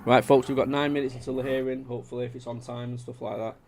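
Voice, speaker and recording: A man's voice: mid-range voice, little pitch movement, fast, a little mellow, slight background noise. A man, chatting casually to viewers on a live stream and reading out their comments. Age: 30s. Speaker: livestream host.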